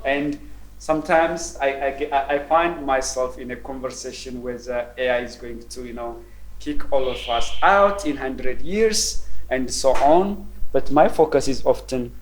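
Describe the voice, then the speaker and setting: Man in his forties, mid-pitched, measured keynote delivery at a university roundtable. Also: poor audio. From Ethiopia. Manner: measured, thoughtful, frequent ums and repeated words. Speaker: keynote speaker. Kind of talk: conference presentation. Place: university roundtable event room.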